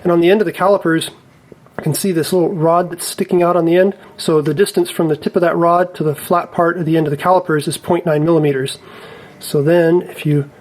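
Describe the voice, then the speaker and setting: Higher-pitched man explaining a hands-on repair. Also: fairly even intonation, a little fast, darkish tone, poor audio. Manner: methodical, explanatory, careful. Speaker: repair technician presenting a tutorial. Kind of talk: casual step-by-step repair demonstration.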